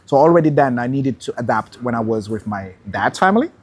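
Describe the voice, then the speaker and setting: A man's voice: medium pitch, expressive, a little mellow, breathy edge. A man from Senegal, a guest in an interview, talking thoughtfully and stopping to restart his sentences. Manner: thoughtful, measured, with hesitant restarts. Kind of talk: conversational interview.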